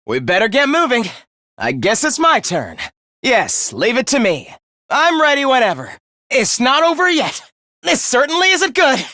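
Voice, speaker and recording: A young video game villain who despises humankind. He speaks with formal, condescending politeness and quiet menace, his pitch swinging theatrically from low to high. The sound is very dry and close, free of any room. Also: huge pitch swings, a little slow, dry recording, exaggerated voice. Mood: resolute. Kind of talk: English video game voice acting.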